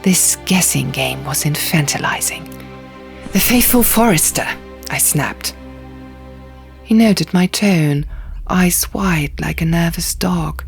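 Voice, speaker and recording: A woman narrating a fantasy audiobook with measured, deliberate, dramatic delivery. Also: low-pitched, gravelly, faint music behind.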